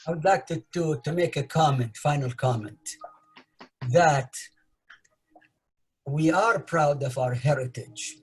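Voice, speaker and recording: An older man from Iraq comments in a very raspy, husky voice. He speaks slowly and emphatically at a slightly raised pitch for a man. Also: faint music behind. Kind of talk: deliberate spoken commentary.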